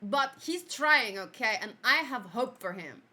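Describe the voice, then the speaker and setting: A young woman whose first language is Afrikaans talks through an anime review in a chatty, giggly way. Her voice sits high and sounds bright and sharp. She bursts out loudly, then drops into quiet muttering to herself. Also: animated, a little slow. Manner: chatty, giggly, jumps between loud outbursts and mumbling to herself. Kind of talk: casual review chat reacting to her own old video.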